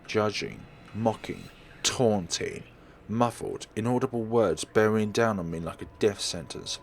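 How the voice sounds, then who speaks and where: Low, expressive male game narrator. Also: slight background noise. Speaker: let's play host reading aloud. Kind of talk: dramatic scripted narration with asides.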